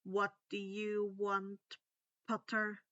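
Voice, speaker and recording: A woman's voice: medium pitch, monotone, very slow, sharp tone, muffled recording. A woman voicing a stern, irritable male teacher with a growling, scornful manner in a story reading. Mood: sneering. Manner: growling, clipped disdain.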